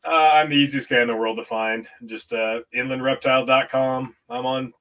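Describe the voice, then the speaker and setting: A man's voice: mid-range voice, fairly even intonation, normal speed, fairly bright tone. A man in his fifties, a reptile breeder guesting on a video-call podcast, telling stories in a laughing, self-deprecating way. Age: 50s. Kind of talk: casual chat. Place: home room with green screen. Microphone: studio mic on video call.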